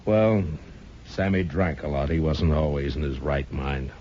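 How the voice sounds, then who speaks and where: An actor playing a stern, weary Old West marshal in a 1950s radio western, with an American accent and a terse, dry delivery. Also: male voice, very deep voice, a little slow, dark tone, a little hiss or hum. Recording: dull old radio broadcast.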